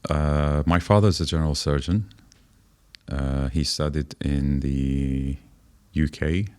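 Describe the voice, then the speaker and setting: A man in his forties with an extremely low voice gives measured answers as a podcast guest. He talks in short, listed phrases with small pauses between them. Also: steady tone, a little mellow, smooth. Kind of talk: conversational interview answers.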